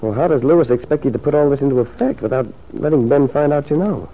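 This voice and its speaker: An actor playing a smooth, scheming male lover in a radio drama, suave and coaxing in manner. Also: mid-range voice, animated, fast. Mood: thoughtful. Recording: muffled old radio drama recording.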